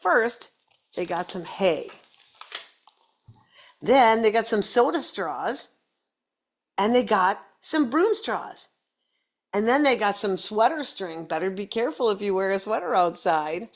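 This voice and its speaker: Animated, unhurried woman reading a storybook. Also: medium pitch. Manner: playful, talks to young listeners. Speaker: storybook reader. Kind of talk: expressive read-aloud of a children's picture book with chatty asides.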